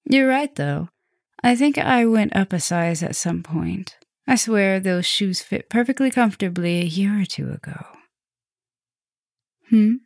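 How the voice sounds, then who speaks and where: A woman playing a flirtatious, caring, softly dominant vampire professor in an intimate roleplay ASMR, speaking softly and breathily with teasing drifts into whispers. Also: medium pitch, creaky, no room sound, studio quality. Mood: playful, reassuring.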